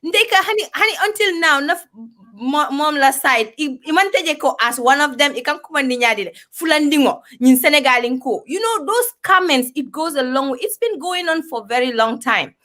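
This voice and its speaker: Woman, bright high voice, passionate co-host. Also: lively intonation. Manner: passionate, personal, speaks up quickly. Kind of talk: panel discussion, mixing English and a local language.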